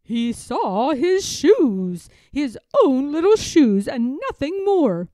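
A woman storyteller reading a children's picture book aloud in a dramatic, expressive way with suspenseful pauses. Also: sits high, no room sound.

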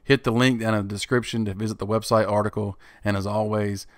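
A middle-aged man with a fairly low voice talks through a home woodworking project in a relaxed, matter-of-fact way. He sounds like a host narrating his own tutorial. The recording is close and dry, with no room sound. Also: quick pace, dry recording. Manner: plain, easygoing step-by-step explaining. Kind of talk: DIY tutorial voice-over.

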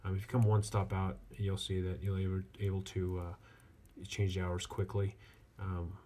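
Low, flat-toned man reviewing casually. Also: measured pace. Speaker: watch reviewer. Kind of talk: casual hands-on product review. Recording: clear steady background noise.

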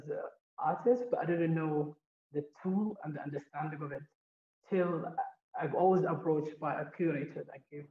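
A young man from Somaliland, a visual artist, talking through his work on a video call with frequent hesitations. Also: a little high. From Somaliland, grew up partly in Saudi Arabia. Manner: hesitant, many fillers and restarts. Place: small room indoors. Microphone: laptop video call. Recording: roomy, dull video-call audio.

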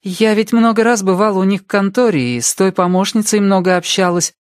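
A man's voice: high-pitched, brisk, smooth, dry recording, clean studio sound, pitched above the performer's own voice, natural voice. A man voicing a polite, matter-of-fact woman witness in an audiobook, composed and explanatory. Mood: matter-of-fact, explaining. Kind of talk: audiobook character dialogue.

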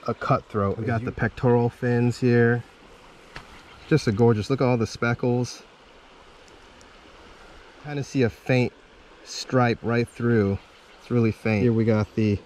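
A man filming himself fishing on a river bank with a chest-mounted camera, excitedly calling out to viewers as he fights and lands fish. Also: medium pitch, slight background noise. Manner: exclaims often, talks to viewers. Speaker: fishing vlogger. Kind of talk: excited casual commentary while fishing. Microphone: chest or head mounted action camera.

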